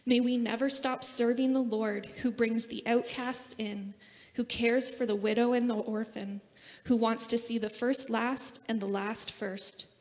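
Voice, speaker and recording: A woman's voice: fairly high pitch, steady tone, unhurried. A woman reading a scripted prayer aloud as she leads a congregation at a lectern microphone in a church. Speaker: church member leading prayer. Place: large church sanctuary, at a lectern. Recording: echoey church hall, long reverb.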